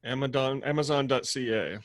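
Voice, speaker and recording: A man's voice: medium pitch, fairly quick, lightly acted. Comedy table read: male actor as dry, teasing, boozy roommate, sardonic, mid-range voice. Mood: deadpan.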